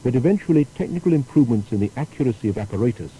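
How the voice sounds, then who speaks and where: A man delivers scripted documentary narration in a measured, explanatory manner. His voice is very dark and soft-edged, yet his pitch moves in lively swings. There is faint noise on the recording. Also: rough recording.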